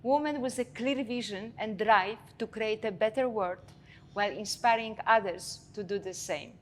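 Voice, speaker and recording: A woman's voice: mid-range voice, normal speed, a little hiss or hum. A woman hosting an interview show, opening with a formal scripted introduction and asking her guest questions in polished presenter style. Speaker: talk show host.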